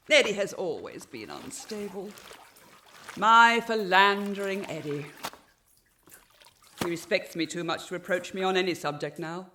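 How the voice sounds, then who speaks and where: A woman's voice: lowish voice, expressive, unhurried, long pauses, sharp tone, a little hiss or hum. An actress playing a haughty, brittle, respectable 19th-century lady in a period radio drama, speaking precisely and archly. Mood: dry, bitter. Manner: imperious, precise, arch, theatrical.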